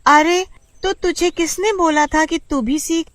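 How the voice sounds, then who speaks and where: A shrill excitable young girl, voiced by a woman. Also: unhurried, no echo. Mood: excited, pleading. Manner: shrill, exclaiming. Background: faint music.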